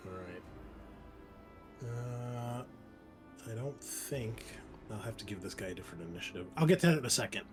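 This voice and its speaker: A man's voice: mid-range voice, moderate pace, pauses often, music in the background. A man game mastering a tabletop roleplaying game, explaining rules and narrating the action in casual talk.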